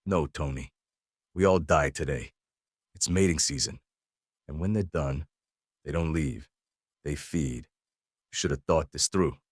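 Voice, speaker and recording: A man voicing a grim, defiant character in a mob horror story, speaking in a hushed, menacing, deliberate way. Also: extremely low pitch, no echo, studio quality, voice pushed down. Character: grim, defiant person facing doom, warning a mobster.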